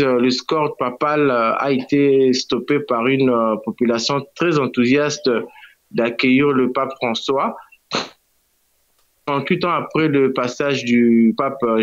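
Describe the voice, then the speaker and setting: A Congolese man reporting in a radio interview, hesitant, with many fillers and restarts. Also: mid-range voice. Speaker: guest correspondent. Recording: dull lo-fi line.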